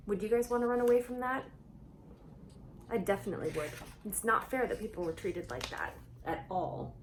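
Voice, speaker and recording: A woman with a mid-range voice reads a storybook aloud for children. Her delivery is expressive, slipping from narration into conversational asides and questions for her young listeners. There is some light noise behind her voice. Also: medium pitch.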